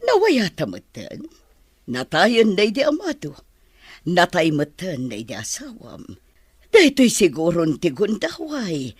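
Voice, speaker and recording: A spurned, lovelorn madam in a radio soap. She speaks slowly and her pitch swings widely with feeling. The sound is dry and close. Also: wildly swooping pitch, husky, dry recording, full character voice. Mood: pleading. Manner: melodramatic, drawn-out delivery. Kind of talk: radio drama acting.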